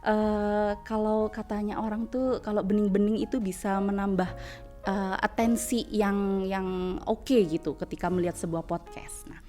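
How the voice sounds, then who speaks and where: A woman's voice: medium pitch, fairly even intonation, moderate pace, mellow, faint music behind. A woman hosting a podcast, chatty, with many fillers. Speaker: podcast host, lecturer.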